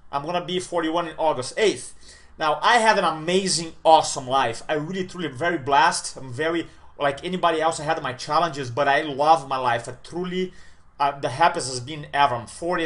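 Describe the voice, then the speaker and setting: A man in his forties giving an upbeat motivational talk straight to camera as a speaker and coach. He piles up repeated words for emphasis and swings from loud bursts to quiet lines. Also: a little high, brisk. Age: 40s.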